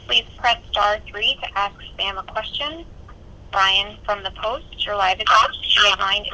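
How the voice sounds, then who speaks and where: A woman's voice: medium pitch, slow pace, bright, forceful tone. A woman working as a conference call operator, making short scripted announcements. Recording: phone line with faint noise.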